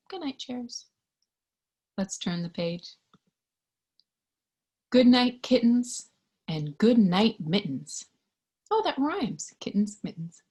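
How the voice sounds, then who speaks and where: A woman with a fairly high, breathy voice reads a picture book to small children. She takes her time, lilting gently and pausing to ask simple questions. Her delivery is full of wonder and sometimes drops to a hush. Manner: gentle, singsong, sometimes hushed to a whisper. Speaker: storyteller reading a picture book to young children. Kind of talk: interactive children's read-aloud with questions to the listener.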